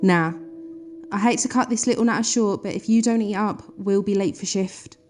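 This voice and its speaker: A woman's voice: medium pitch, normal speed, a little mellow, soft background music, natural voice. A woman with a British accent acting a practical, down-to-earth spaceship crewmate in an audio drama, blunt and chatty. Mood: matter-of-fact. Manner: blunt, chatty, matter-of-fact.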